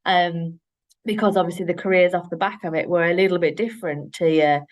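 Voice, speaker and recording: A woman with a Yorkshire accent and a lowish voice talks plainly and directly about her work. She sounds to be in her late thirties. She speaks as an interview guest in an easy, practical way, with plenty of ums. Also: steady tone, brisk. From Yorkshire, England. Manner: direct, practical, frank, with fillers. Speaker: guest, head of operations. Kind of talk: podcast interview, casual chat.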